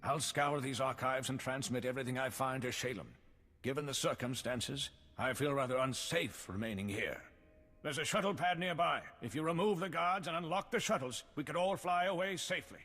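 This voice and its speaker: An actor playing an earnest, anxious civilian official in a video game, formal in speech and husky. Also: male voice, mid-range voice, a little fast, a bit bright, natural voice. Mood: worried, determined. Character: earnest, anxious male civilian government representative. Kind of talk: video game cutscene acting. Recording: long hall reverb.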